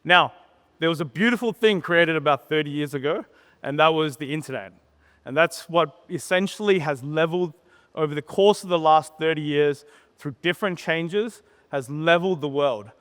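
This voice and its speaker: Clear-voiced man in a reverberant hall. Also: fairly high pitch, fairly bright tone. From born in Sri Lanka, grew up in Australia. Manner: plain-spoken, conversational, uses pauses and tag questions. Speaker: startup co-founder, conference speaker. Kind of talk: informal conference presentation, personal storytelling. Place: conference stage in a large hall.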